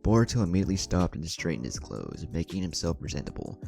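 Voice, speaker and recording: A man's voice: low voice, big pitch swings, fast, gravelly. A man reading a scripted story aloud as narrator over music. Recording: music bed under the voice.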